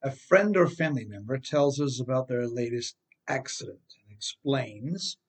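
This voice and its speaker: A man's voice: medium pitch, normal speed. Man narrating an instructional audiobook. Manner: rhetorical questions, direct address to listeners, emphatic. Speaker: author reading own advice book on driving.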